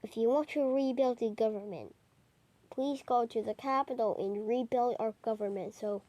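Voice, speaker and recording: A woman reading a homemade mock emergency broadcast in a halting, improvised way with stumbles. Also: fairly high pitch, mellow. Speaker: narrator of a homemade emergency alert scenario.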